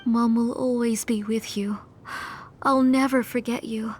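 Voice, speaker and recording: A woman voicing a grieving, obsessive mother in a video game, speaking in a solemn, deliberate, tender way. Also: a little high, fairly even intonation, darkish tone, slight background noise, close to an everyday voice. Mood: tender desperation. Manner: solemn, deliberate, tender toward her child. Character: grieving, obsessive mother determined to resurrect her dead child. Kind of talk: dramatic video game voice acting. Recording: music behind. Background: game music.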